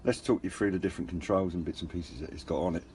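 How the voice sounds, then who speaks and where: Low, mellow-voiced man reviewing gear casually. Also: fast. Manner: hesitant, rambling, understated. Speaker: hobbyist radio reviewer and channel host. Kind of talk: casual unscripted product review. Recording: faint background noise.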